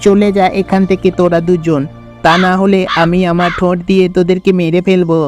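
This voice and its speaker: A man's voice: high-pitched, level tone, brisk, darkish tone, soft background music, lightly acted. A man voicing a stern crow father in a cartoon, scolding and cawing between sentences. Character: stern crow father turning out his young.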